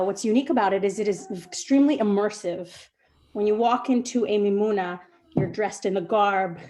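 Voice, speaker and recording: A woman's voice: medium pitch, a little mellow. A young woman speaking on a video call, earnest and heartfelt, with plenty of fillers as she talks. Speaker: guest, chef's daughter. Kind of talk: casual speech on a video call.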